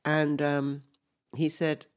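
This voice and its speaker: A woman's voice: sits low, monotone, slow pace. Older woman from England, affectionate, says darling a lot, chatty storyteller in a family talk. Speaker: the other speaker's mother. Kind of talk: casual family conversation.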